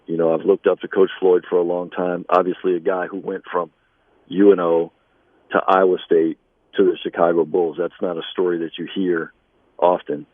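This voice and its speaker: Low-voiced man in a dry radio interview. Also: little pitch movement, moderate pace, dry recording, phone-quality sound. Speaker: basketball coach being interviewed.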